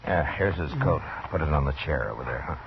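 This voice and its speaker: A deep, low-pitched lawman of the Old West, calm and wryly commanding. He speaks with dry authority in a radio western, on a dull, hissy old recording. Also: fast, creaky, exaggerated voice. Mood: calm, concerned. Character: steady, wry US marshal of a frontier town. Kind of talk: 1950s radio western acting.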